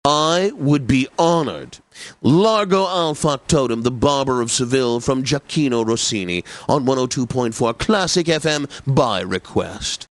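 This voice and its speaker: A man's voice: medium pitch, lively intonation, unhurried, no echo, lightly acted. Man playing a pompous classical radio DJ, close and dry. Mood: grandly whimsical. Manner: pompous, flamboyant, precise announcing. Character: pompous, whimsical male classical music radio host. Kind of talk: theatrical radio announcing.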